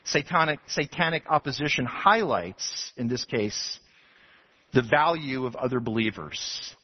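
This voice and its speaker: A man pastor from Texas preaching an expository sermon to his congregation, animated and building to emphatic passages. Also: medium pitch, unhurried, husky. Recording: dull lo-fi sound.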